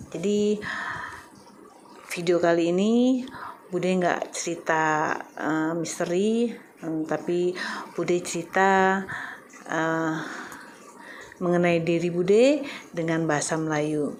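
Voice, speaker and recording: Slow, hesitant Indonesian woman with Javanese accent. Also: lowish voice, a little mellow, a little hiss or hum. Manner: hesitant, many filler "eh" pauses. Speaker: vlogger. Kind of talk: casual chat to viewers.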